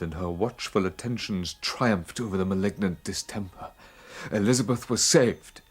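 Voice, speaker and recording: A man playing an earnest, studious young student telling his own story in a dramatised audiobook. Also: deep voice. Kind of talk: dramatised first-person narration.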